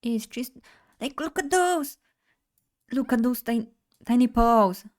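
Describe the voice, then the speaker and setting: A woman's voice: on the high side, lively intonation, measured pace, darkish tone. A young woman from Poland, chatting bubbly and directly to her live chat as a streamer at a desk mic. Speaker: vtuber streamer.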